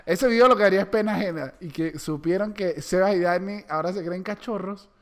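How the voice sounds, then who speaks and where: A man's voice: high voice, a little fast. A young Venezuelan man co-hosting a podcast, teasing his partner and laughing often in casual chat. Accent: Venezuelan Spanish. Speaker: podcast co-host.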